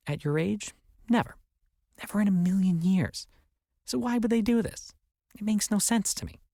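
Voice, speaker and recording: A man's voice: high-pitched, expressive, moderate pace, gravelly, dark tone, dry recording, clean studio sound, voice pushed up, full character voice. A man voicing a fretful, disapproving middle-aged mother who talks in an indignant, insistent manner. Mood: indignant disbelief.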